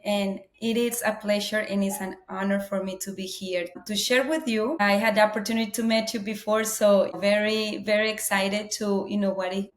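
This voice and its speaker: A woman from Colombia, telling her story earnestly as a guest, often saying "you know". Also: mid-range voice. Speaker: guest, business owner. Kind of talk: interview conversation. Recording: roomy echo.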